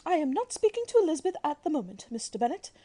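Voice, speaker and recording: A fretful middle-aged matchmaking mother, played by a woman in a radio play. Her voice is very high but soft-edged and mellow. She is imperious, theatrical and full of self-pity. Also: big pitch swings, rapid-fire. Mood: bossy, indignant. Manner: imperious, wailing, self-pitying. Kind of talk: theatrical radio drama acting.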